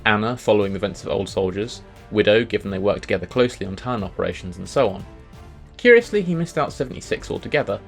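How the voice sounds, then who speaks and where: A man's voice: fairly low pitch, gravelly. A man hosting a video, reading scripted commentary on video game lore. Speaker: video host.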